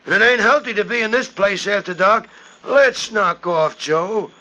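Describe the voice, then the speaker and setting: High-voiced jittery gardener. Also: male voice, moderate pace, sharp tone. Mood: uneasy. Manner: anxious, slangy. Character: nervous working-class male park gardener, easily scared. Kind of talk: 1940s radio acting. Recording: noisy old 1940s radio recording.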